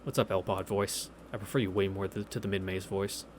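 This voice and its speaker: A man's voice: deep voice, level tone, brisk, a little hiss or hum. A man hosting a visual novel read-through, reading narration aloud with wry, casual asides over game music.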